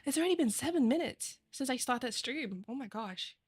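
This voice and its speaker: A woman's voice: high voice, animated, normal speed, slightly rough. Giggly, bubbly woman streamer, native Chinese speaker. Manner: bubbly and giggly, laughs often; chatty, rambling asides. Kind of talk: casual live-stream chat with viewers.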